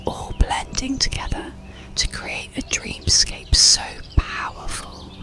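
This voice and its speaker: A storyteller narrating an ASMR bedtime story in a slow, soothing, hushed whisper. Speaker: audiobook narrator.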